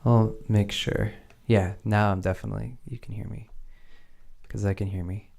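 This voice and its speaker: A man thinking out loud in a laid-back, casual way as he demonstrates music production software. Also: on the low side, steady tone, normal speed, creaky. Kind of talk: casual tutorial chat while working.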